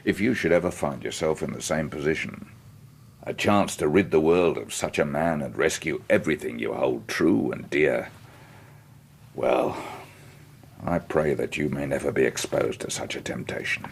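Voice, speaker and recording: An actor in a radio drama playing a dying old landowner confessing a killing, bitter and unrepentant, with a gravelly, husky voice. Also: male voice, rumbling low, normal speed, a little hiss or hum. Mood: grateful, solemn. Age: older.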